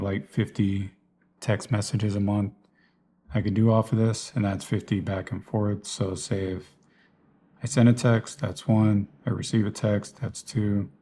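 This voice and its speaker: Mellow low-voiced man explaining gear. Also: a little hiss or hum. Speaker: vlogger presenting personal radio gear. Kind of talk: casual unscripted gear walkthrough.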